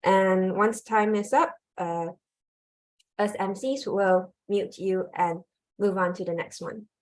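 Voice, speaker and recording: A woman's voice: mid-range voice, steady tone, slow pace. A woman emcee moderating an online conference over a video call, polite and hesitant with many fillers.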